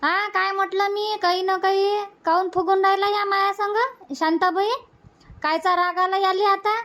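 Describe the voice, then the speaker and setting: A woman's voice: extremely high pitch, level tone, moderate pace, fairly bright tone. A woman voicing a homely older village grandmother in a cartoon comedy, speaking rural Varhadi Marathi. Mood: puzzled, coaxing.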